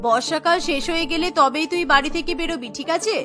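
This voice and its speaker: A woman's voice: sits high, fast, music in the background, close to an everyday voice. A woman voicing a protective elderly mother-in-law in a cartoon, doting but firm, with an emphatic way of talking. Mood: fond, reassuring. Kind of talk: cartoon voice acting.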